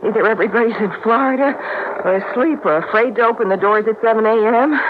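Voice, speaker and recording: An actress in a 1970s radio drama playing a worried, exasperated wife and loyal friend with an urgent, emphatic way of talking. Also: female voice, on the high side, fast, lightly acted. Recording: noisy, tinny old radio broadcast.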